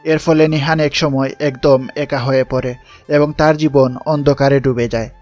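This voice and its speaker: A man's voice: medium pitch, fairly even intonation, normal speed, darkish tone, soft background music. A man reading a scripted story narration.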